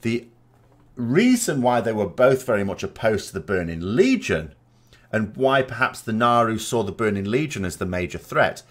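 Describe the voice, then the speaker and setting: Man with lively, swooping delivery. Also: on the low side, normal speed. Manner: emphatic, explanatory, poses rhetorical questions. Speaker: gaming vodcast host. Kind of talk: unscripted opinion commentary.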